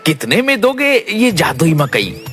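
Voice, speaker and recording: A woman's voice: lowish voice, sing-song, a little slow, a bit bright, soft background music, voice pushed down. A woman voicing a greedy, wary, haggling old male merchant in a children's cartoon story. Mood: placating.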